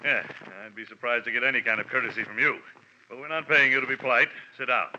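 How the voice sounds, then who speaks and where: An actor playing a pompous, blustering middle-aged insurance manager in a 1940s radio drama, talking gruffly and haltingly. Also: male voice, medium pitch, steady tone, pushed and bright. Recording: muffled old radio recording with faint hiss.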